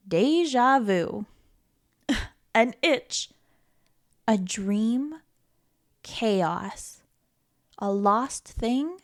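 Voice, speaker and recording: A woman reading a children's picture book aloud in a gentle, playful, reassuring way, sometimes giggling. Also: medium pitch. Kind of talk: read-aloud storytime.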